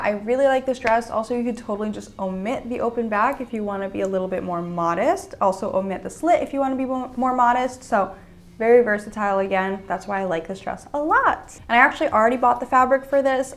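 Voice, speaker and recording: A young woman with a slightly high voice talks through her plans in a casual, upbeat vlog style. She rambles warmly with plenty of "like" and "um" fillers. She mentions being a little sick, though she stays chatty. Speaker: sewing and fashion channel host. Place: indoors at home with sketchbook and iPad.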